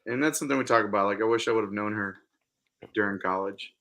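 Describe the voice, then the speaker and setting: A man's voice: on the low side, fast talker, vocal fry, sharp tone. An American man speaking casually and informally as a podcast guest. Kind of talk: casual chat.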